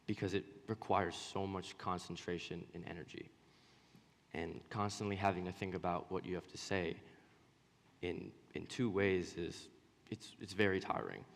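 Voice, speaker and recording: A young man with a low voice gives a personal student speech on stage. He stutters, pausing and repeating words as he goes, and his voice rings with the long reverb of a hall. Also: vocal fry. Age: young adult. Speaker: student presenter.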